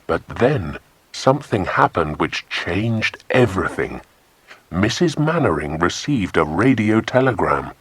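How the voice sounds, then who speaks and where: A man's voice: low-pitched, unhurried, slightly rough, no room sound. A man narrating a children's adventure audiobook expressively, close on a studio microphone. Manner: expressive storytelling. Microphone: close, dry studio microphone.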